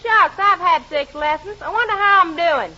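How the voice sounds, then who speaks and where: An actress playing a brash, folksy, wisecracking American gal with slangy clowning delivery in 1940s radio comedy. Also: female voice, extremely high pitch, normal speed, over loud music. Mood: grumbling. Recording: very noisy, dull old radio recording.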